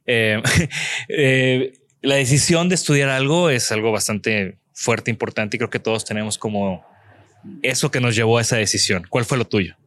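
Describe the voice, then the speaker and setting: A man hosts a relaxed interview. His voice sits at a middle pitch and comes through close and dry, with no room echo. He talks in long, winding sentences full of restarts. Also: moderate pace. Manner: rambling, with restarts and frequent "¿no?" tags. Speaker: podcast host. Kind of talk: conversational interview.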